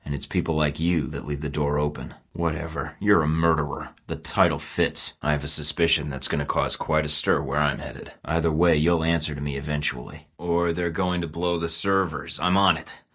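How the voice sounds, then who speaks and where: A hardened spy from a video game, terse and sardonic, with a very deep, low voice. He talks in cold, clipped threats and dry jabs. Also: steady tone, normal speed, hardly any pauses, a bit bright, exaggerated voice. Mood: cold, defiant. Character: hardened male spy in his thirties, defiant. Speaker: game protagonist, secret agent.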